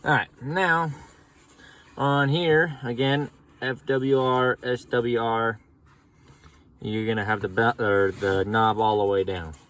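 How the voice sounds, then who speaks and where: A man giving a relaxed, folksy how-to walkthrough from inside a truck. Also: mid-range voice, slow, a little hiss or hum. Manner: relaxed, folksy explaining with casual slang. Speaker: mechanic demonstrating CB radio setup. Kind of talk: casual how-to narration.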